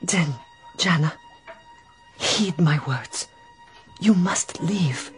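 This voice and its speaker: A calm, devoted robot maid, voiced by a woman, speaks in a very low, steady voice. Her manner is formal and earnest, and faint music plays under her in a radio drama. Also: extremely slow.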